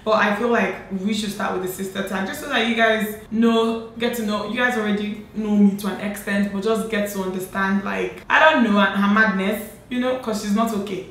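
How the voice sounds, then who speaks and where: A woman in her late twenties from Nigeria, hosting a casual sister tag chat with animated, laughing talk, filmed seated at home. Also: medium pitch, moderate pace, few pauses. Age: late 20s. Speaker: beauty vlogger host. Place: bright home room, indoors. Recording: echoey room with faint noise.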